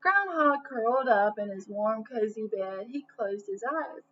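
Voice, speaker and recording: A woman's voice: a little high, measured pace. A woman reading a storybook aloud for children, expressively, in the manner of someone addressing young listeners. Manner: expressive, asks listeners questions. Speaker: storybook reader. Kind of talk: children's read-aloud narration with asides. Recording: dull lo-fi sound, faint noise.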